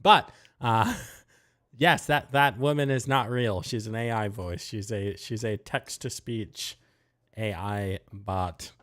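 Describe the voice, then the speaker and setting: A man's voice: lowish voice, a bit bright. A man co-hosting a live talk show, giving blunt, opinionated takes in casual chat. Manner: blunt, opinionated, quick asides. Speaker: podcast co-host.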